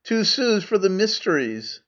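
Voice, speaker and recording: A crafty, down-at-heel trader with a broad Scottish accent coaxes and blusters, as a man puts him on. The voice sits high and bounces with eager hawking. It is heard through a dull, muffled recording. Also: sing-song, a little slow. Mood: wheedling. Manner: broad dialect, coaxing and blustering. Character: crafty poor male trader, older, wheedling.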